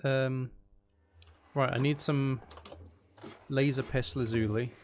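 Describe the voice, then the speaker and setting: A man with a gravelly voice giving casual, rambling, sweary commentary as he thinks aloud while playing a video game. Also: mid-range voice, fairly even intonation, slow, a little mellow, a little hiss or hum. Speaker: gaming video host.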